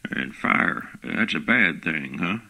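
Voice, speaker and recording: An actor playing a nosy, pushy smart-home speaker who talks with a folksy country drawl in a comedy radio play. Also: male voice, extremely low pitch, lively intonation, takes it slowly, creaky, sharp tone, exaggerated voice, low-quality recording.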